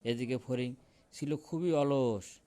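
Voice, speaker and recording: A man's voice: mid-range voice, slow, dark tone. A man reading a scripted fable as a storyteller.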